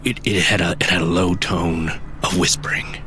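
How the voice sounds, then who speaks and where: Earnest monster-sighting witness, low register, halting, careful delivery for audio drama. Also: male voice, fairly even intonation, sharp tone, a little hiss or hum. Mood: hushed fear. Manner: measured, halting, earnest storytelling. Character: earnest cryptid-enthusiast man recounting a frightening sighting. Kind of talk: immersive fantasy audio drama.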